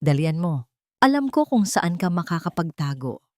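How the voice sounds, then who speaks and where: A woman's voice: lowish voice, very animated, moderate pace, dry recording, clean studio sound. A woman narrator voicing a loyal, protective elderly male butler giving urgent, secretive instructions in an audio drama.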